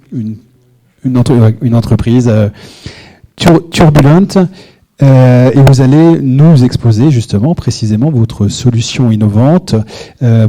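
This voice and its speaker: A man's voice: medium pitch, dark tone. A man moderating a public round table, formally introducing the speakers. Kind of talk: moderating a panel.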